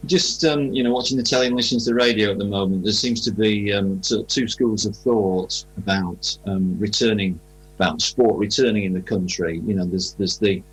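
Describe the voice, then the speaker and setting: A man interviewing informally for radio, asking rambling questions in a hesitant, halting way. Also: sits low, husky, rough recording.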